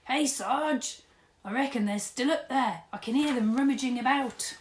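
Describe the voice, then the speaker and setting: Woman as an eager storybook constable, calling out, pitched up. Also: normal speed, reverberant, a little hiss or hum, close to an everyday voice. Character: eager young police constable man.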